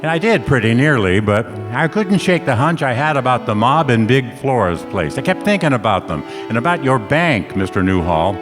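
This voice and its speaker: A man's voice: mid-range voice, big pitch swings, moderate pace, hardly any pauses, lightly acted. A man acting a tough, streetwise private detective in a stage radio play, talking in clipped, wry hard-boiled slang. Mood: wry, narrating. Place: stage in a hall. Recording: echoey hall, music behind.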